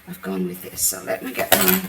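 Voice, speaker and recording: A woman hosting a card-making demonstration, chatting through each step with a croaky voice. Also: a bass voice, monotone, fairly quick. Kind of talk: casual craft demonstration. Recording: roomy, mic at a distance, faint background noise. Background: birds outside.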